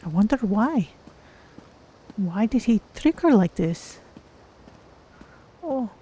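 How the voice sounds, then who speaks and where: A woman voicing a calm, thoughtful, curious female cat-folk adventurer as she narrates her way through a game. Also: mid-range voice, slow, slightly rough, exaggerated voice. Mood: musing. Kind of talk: in-character game stream narration.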